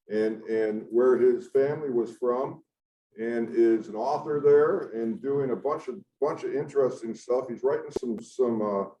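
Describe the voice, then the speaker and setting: A man's voice: mid-range voice, mellow. A man hosting a club meeting, rambling and hesitant with many restarts. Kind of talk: casual introduction at a club meeting. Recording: echoey room, voice far from mic, dull.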